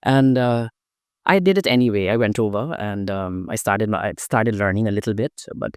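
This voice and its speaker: A man's voice: on the low side, normal speed, a little mellow, clear, resonant tone, no room sound, clean studio sound. A middle-aged man from Guyana, a dancer and interview guest, telling his story thoughtfully with frequent fillers and restarts. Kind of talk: conversational interview answers.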